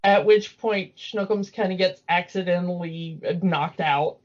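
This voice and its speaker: A woman in a casual, discursive fan chat about cartoons, talking in an animated, opinionated way. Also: fairly low pitch, a little slow, muffled recording. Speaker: podcast co-host.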